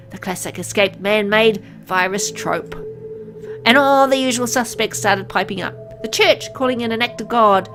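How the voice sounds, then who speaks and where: A frank, secretive medical insider , acted out by a woman lays things out earnestly, as if in confidence. The voice is medium-pitched, in a visual novel read-aloud with music underneath. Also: fairly bright tone, close to an everyday voice.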